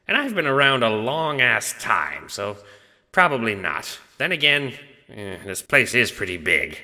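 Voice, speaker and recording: A man's voice: mid-range voice, big pitch swings, measured pace, sharp tone, voice pushed up, exaggerated voice. Man voicing a blunt, foul-mouthed lug of death, echoing. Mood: casual, matter-of-fact. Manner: blunt, slangy, casually profane. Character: Death, big lug god of double death, foul-mouthed, dim, blunt, bitter. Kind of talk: audio drama character voice.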